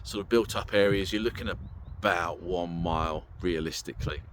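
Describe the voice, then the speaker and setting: Low-voiced British man, casual noisy vlog. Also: fairly quick, fairly bright tone. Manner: informal, chatty, easygoing. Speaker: vehicle owner presenting an installation. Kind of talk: casual walk-through vlog. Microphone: handheld camera or phone.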